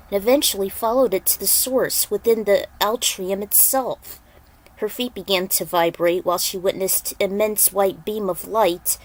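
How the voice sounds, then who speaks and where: A woman's voice: mid-range voice, low-quality recording. A woman narrating a piece of fan fiction, reading the prose aloud as a dramatic story.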